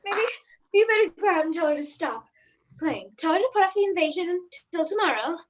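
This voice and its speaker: A woman's voice: very high-pitched, expressive, brisk. A woman playing a terrified mother in a radio play, pleading in a faltering, repetitive way. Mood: uneasy.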